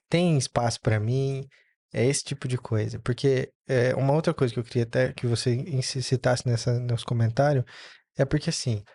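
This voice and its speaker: Mellow guy asking questions on a podcast, dry close sound. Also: medium pitch, dry recording. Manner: inquisitive, asks questions for friends. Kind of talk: casual podcast conversation.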